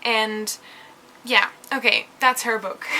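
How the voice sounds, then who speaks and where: A woman's voice: fairly high pitch, slow pace, bright, forceful tone, slight background noise, poor audio. A young woman from the Netherlands with a Dutch accent, chatting enthusiastically in a casual book review vlog with lots of fillers. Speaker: vlogger.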